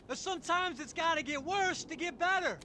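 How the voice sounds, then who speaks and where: A man's voice: extremely high pitch, fairly quick, bright, forceful tone, slight background noise, full character voice. An actor playing a smarmy, flaky male tennis coach, placating and bro-ish, in a video game cutscene.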